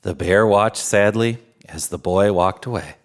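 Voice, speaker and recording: A man reading a children's storybook aloud as a jolly storyteller, with unhurried, dramatic phrasing. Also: fairly low pitch. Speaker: storyteller in Santa persona.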